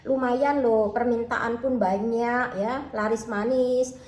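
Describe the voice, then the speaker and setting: A woman's voice: a little high, fairly even intonation, takes it slowly, darkish tone, reverberant, poor audio. A woman from Indonesia with a Javanese accent mixed with Malay, chatting casually to her viewers from her kitchen and calling out to them again and again. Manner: chatty, keeps saying "teman-teman, ya", talks about herself in third person. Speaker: food-selling vlogger. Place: home kitchen.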